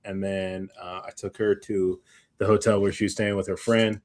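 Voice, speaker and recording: A man co-hosting a podcast in casual chat, with a halting, meandering, slangy way of talking. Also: sits low, level tone, a little mellow. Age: adult, parent of young child. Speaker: podcast co-host.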